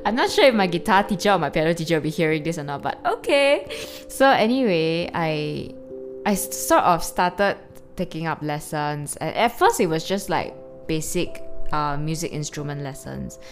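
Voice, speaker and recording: A woman in her early twenties with a low voice, hosting a rambling, casual personal monologue full of fillers and restarts. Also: expressive, normal speed. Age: early 20s. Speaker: podcast host. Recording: background music clearly audible.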